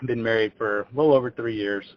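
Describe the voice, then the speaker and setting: A man's voice: fairly low pitch, fairly even intonation, quick pace. A man, telling his story as a podcast guest in a rambling, casual way. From Texas. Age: 20s. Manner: rambling, repeats words when starting phrases. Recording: dull lo-fi audio.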